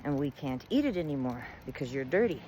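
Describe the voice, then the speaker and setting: An old woman of a folk tale played by a woman storyteller talks plainly and deliberately. Her voice moves up and down expressively, with firm emphasis on her words. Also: very deep voice, sing-song, mellow, a little hiss or hum, pitched below the performer's own voice, poor audio. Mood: reflective. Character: old woman, blunt and wary, later humbled. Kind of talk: oral storytelling.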